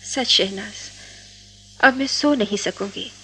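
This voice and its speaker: A woman's voice: mid-range voice, slow, sharp tone, slight background noise, poor audio. An actress playing a lovestruck, defiant young college woman from a wealthy home in a theatrical Hindi radio drama. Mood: agitated, indignant. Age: young adult. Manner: impassioned, theatrical delivery.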